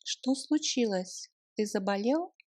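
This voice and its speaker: A woman narrating a language lesson, reading short scripted phrases deliberately and separating the words for learners. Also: fairly high pitch, lively intonation, a little mellow, dry recording, studio quality.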